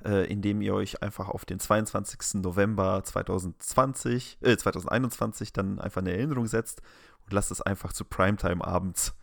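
A man's voice: lowish voice, fairly even intonation, fairly quick, vocal fry, dry recording, clean studio sound. A man with a German accent talking as a podcast co-host in a casual, colloquial, exclamatory way.

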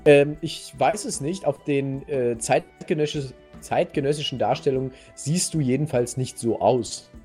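A man hosting a podcast, telling a history story casually and playfully in a halting, slightly husky voice. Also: mid-range voice, dark tone, faint music behind. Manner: halting, playful storyteller addressing co-host directly. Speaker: podcast host.

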